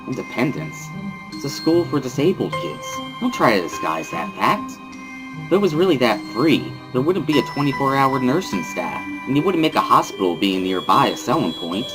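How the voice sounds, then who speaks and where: A man's voice: medium pitch, big pitch swings, moderate pace, over music, pitched above the performer's own voice, close to an everyday voice, poor audio. A man playing an upset teenage boy patient, bitter and protesting, in a visual novel reading. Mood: angry, indignant. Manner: indignant, protesting.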